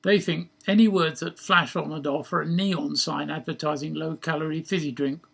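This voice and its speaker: A man's voice: a little high, steady tone, moderate pace, vocal fry, a bit bright, husky, heavily acted. A man putting on a bossy head-office boss in a story, with a posh British accent, pompous and hectoring. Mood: pompous, irate.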